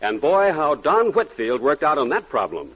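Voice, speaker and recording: Higher-pitched, animated male radio announcer. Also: moderate pace, breathy edge. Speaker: commercial announcer. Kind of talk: 1940s radio advertisement. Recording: muffled 1940s radio recording.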